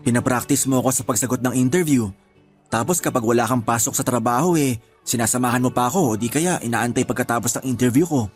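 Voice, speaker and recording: Man acting as young boyfriend, average pitch, bashful, hesitant, easily discouraged, radio drama, faint music behind. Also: fast, lightly acted. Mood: ashamed, grateful. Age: young adult. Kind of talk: Tagalog radio drama dialogue.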